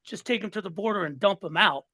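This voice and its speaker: A man's voice: high-pitched, quick pace, pushed and bright, no echo. A man in his sixties from Southern California, a listener guest talking politics in a fired-up way, often repeating and restarting words. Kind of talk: casual political chat.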